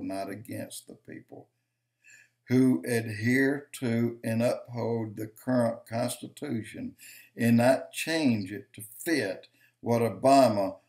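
Slow, emphatic older man preaching. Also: on the low side, darkish tone. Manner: slow, emphatic, lists points and repeats for stress. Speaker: video commentator. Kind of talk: preaching-style commentary to camera. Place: home office, indoors.

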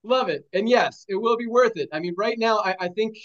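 A man's voice: sits high, fairly quick, a bit bright, lo-fi sound. A man in his forties hosting a team video call, rallying people with repeated hype phrases. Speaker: host of a sales team call. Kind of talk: energetic motivational call. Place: home room, video call. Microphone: webcam on a video call.

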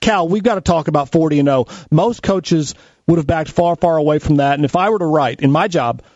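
A man's voice: a little high, brisk, a little mellow, dry recording. A man with a nasal voice hosting a sports radio show, joking and teasing himself. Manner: joking, self-mocking. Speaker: radio host. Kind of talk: casual sports radio talk. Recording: dry, dull studio sound.

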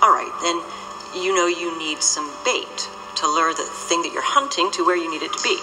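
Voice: medium pitch, fairly quick, in a large hall, music in the background, natural voice, poor audio. An actor playing a sardonic hunter who is impatient and mocks the man beside her. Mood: mocking. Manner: sarcastic, impatient, offhand. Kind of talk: radio drama acting.

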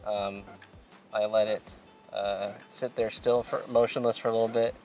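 A man in his thirties with a Pacific Northwest American accent talks through his fishing technique from a kayak out on a lake. His delivery is relaxed and explanatory, dotted with ums and uhs. A faint music bed sits beneath the outdoor sound from the boat-mounted camera. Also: fairly low pitch, normal speed. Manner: relaxed, explanatory, with frequent fillers. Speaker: fishing show host. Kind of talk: casual instructional commentary. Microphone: action camera mounted on the kayak.

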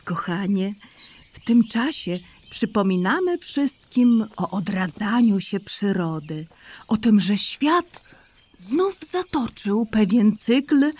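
A woman voicing a gentle, loving mother rabbit in a children's audio drama, speaking tenderly and calling her son sweet names. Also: mid-range voice, big pitch swings, takes it slowly, breathy edge, exaggerated voice. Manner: tender and soothing, calls her son endearments.

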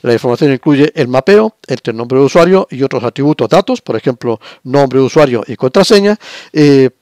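An older man lectures in a steady, middle-pitched voice, a little hoarse because he has a cold. He explains patiently, repeating points and filling pauses with small hesitations. The sound is close and dry, recorded at a desk at home. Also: dry recording. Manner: explanatory, repetitive, many fillers and restarts. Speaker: university lecturer. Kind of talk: recorded lecture over slides.

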